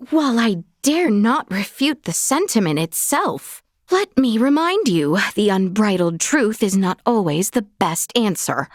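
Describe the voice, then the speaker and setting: A woman playing a gracious, earnest young princess knight in a fantasy video game, speaking with formal, courtly, measured diction. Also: medium pitch, dry recording, studio quality. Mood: wry, composed. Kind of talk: video game character dialogue.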